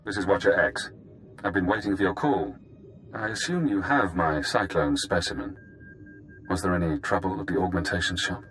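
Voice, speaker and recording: An actor playing a cold, brilliant intelligence informant in a video game, speaking in deliberate, clipped, menacingly calm phrases. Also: male voice, low voice, soft background music. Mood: calm, probing.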